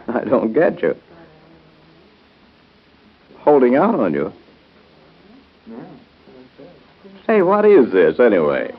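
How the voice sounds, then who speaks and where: An actor playing a busy, good-natured doctor in a 1930s radio drama, conversational and hesitant. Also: male voice, mid-range voice. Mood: baffled, amused. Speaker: radio soap opera actor. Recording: muffled lo-fi 1930s radio broadcast.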